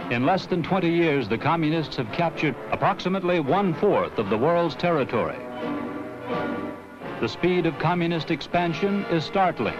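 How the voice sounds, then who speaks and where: Higher-voiced American newsreel narrator, man, vintage sound. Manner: grave, emphatic, measured announcer delivery. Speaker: documentary narrator. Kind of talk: 1960s promotional newsreel narration, scripted. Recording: dull vintage film soundtrack with music.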